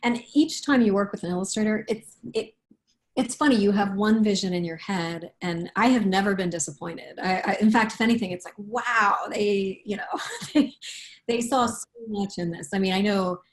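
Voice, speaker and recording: A woman speaking as an author in a casual interview, thoughtful and halting, often restarting and rewording her sentences. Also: medium pitch. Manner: halting, thoughtful, with repeated self-corrections. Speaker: picture book author being interviewed.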